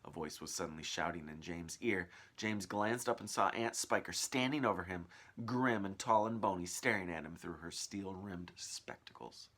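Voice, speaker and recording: A man's voice: low voice, normal speed, a bit bright. A man reading a children's story aloud as narrator, with dramatic, varied emphasis.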